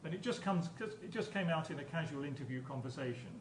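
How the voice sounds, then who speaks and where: A man's voice: a little high, lively intonation, fast. A man giving an academic conference lecture as a professor, explaining hesitantly and often repeating his words. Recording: echoey room, distant mic, background noise.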